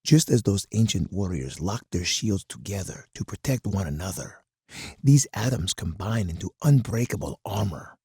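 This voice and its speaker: An actor playing a hard, commanding older colonel in a strategy game, husky and gravelly, with stern, deliberate oratory. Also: male voice, deep voice, sing-song, darkish tone, dry recording, studio quality, exaggerated voice. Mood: measured instruction. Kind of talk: dramatic scripted game character monologue.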